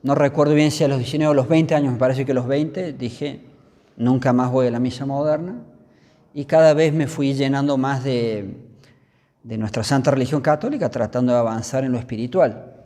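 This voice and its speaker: Argentine priest in a reverberant hall. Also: male voice, medium pitch, moderate pace, warm tone. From Córdoba, Argentina. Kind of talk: conversational storytelling in an interview.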